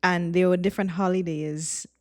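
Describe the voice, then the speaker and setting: A woman's voice: fairly low pitch, moderate pace, no echo, studio quality. A woman with a Trinidadian accent, a former youth pastor chatting casually as a podcast guest and telling stories with animation. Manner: animated storytelling, laughs often.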